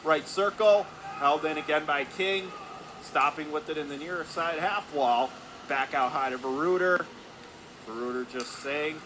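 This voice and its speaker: A man's voice: a little high, measured pace. Hockey play-by-play man, noisy arena. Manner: clipped running phrases, voice rising with the action. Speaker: play-by-play sports announcer. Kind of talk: live radio hockey commentary. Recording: constant loud arena background noise. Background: hockey arena ambience.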